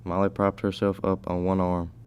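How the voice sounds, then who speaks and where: A man's voice: deep voice, monotone, moderate pace, darkish tone, clear, resonant tone. A teenage male reading scripted story narration.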